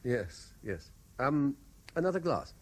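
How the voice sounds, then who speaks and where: An actor with a British accent playing a sceptical, worldly middle-aged lawyer in a 1970s radio drama, urbane and probing, sometimes stumbling into restarts. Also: male voice, medium pitch, very animated, slow pace, slight background noise. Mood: amused, sceptical.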